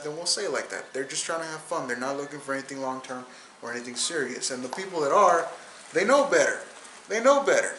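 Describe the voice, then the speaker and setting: A man's voice: high voice, fairly bright tone, a little hiss or hum. Rambling young man in an echoey room. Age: 20s. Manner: rambling, repeats words and restarts. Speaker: podcast host. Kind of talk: casual solo podcast monologue.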